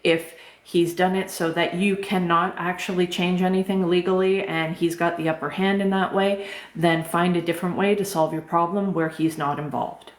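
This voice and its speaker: This is a soft-voiced woman coach who talks gently and casually, with frequent "um"s and the odd swear word. Her voice sits a little low for a woman. She is recorded with a noticeable roomy echo. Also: fairly even intonation, normal speed. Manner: gentle, informal, many fillers, occasional swearing. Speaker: life coach. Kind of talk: live-streamed talk answering chat questions.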